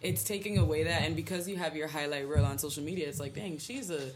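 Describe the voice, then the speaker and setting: Young woman chatting casually, slightly low voice. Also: quick pace, darkish tone, slight background noise. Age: 20s. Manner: slangy, conversational, playful. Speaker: podcast panelist. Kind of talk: casual chat.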